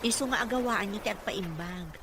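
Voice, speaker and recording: An actress plays a dutiful, caring Filipino caregiver. She has a medium-pitched female voice in radio drama style, recorded with clear background noise. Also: fairly quick, close to an everyday voice. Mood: respectful, encouraging. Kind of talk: Ilocano radio drama acting. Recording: noisy ambient background.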